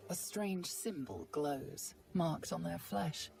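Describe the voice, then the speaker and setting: An actress as a solemn, ominous storyteller, giving measured, grave scripted narration in a video game. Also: female voice, lowish voice, lively intonation, vocal fry, far from the mic, over music, exaggerated voice.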